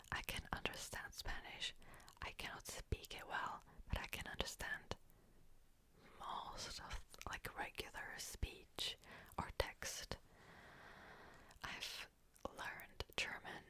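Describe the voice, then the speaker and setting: A young woman from the Republic, whispering a rambling ASMR question-and-answer session with lots of fillers. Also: bright, forceful tone. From Czech Republic. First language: Czech. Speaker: ASMR creator.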